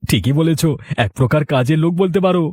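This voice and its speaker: A man's voice: a little high, expressive, fast, darkish tone, natural voice. A man playing a boastful, self-serving landlord's henchman chatting at home in a cartoon, sounding smug. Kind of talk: Bengali cartoon dialogue acting.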